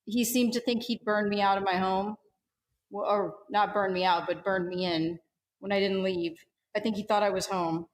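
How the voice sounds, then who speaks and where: A defiant female rebel in a tabletop space adventure, played by a woman, mid-pitched and blunt. She is hard-drinking and snarky, talking plainly to her crew. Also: fairly even intonation, moderate pace, slight background noise, lightly acted. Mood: serious, resolved.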